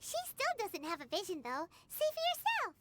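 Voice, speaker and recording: A woman voicing a small childlike female video game companion, squeaky, chirpy and cheeky. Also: huge pitch swings, normal speed, very noisy, exaggerated voice. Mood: matter-of-fact. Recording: loud game music behind.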